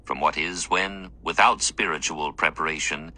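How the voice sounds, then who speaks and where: Deep-voiced man, bright and forceful devotional reading. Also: normal speed. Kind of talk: scripted devotional reading of a written text.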